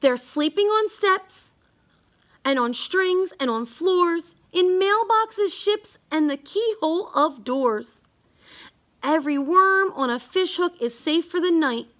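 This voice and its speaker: A woman reading a rhyming children's bedtime story aloud, halting now and then with small stumbles over words. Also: very high-pitched, lively intonation, a bit bright. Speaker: storybook reader.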